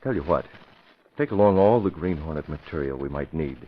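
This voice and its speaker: An actor playing a calm, decisive masked hero in 1940s radio acting. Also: male voice, extremely low pitch, brisk. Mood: determined. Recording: dull vintage radio broadcast, faint hiss.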